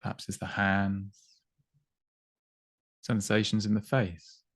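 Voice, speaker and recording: A man guiding a body scan meditation in an unhurried, gently instructive way, with long pauses between short phrases. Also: lowish voice, monotone, no room sound, studio quality. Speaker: meditation guide.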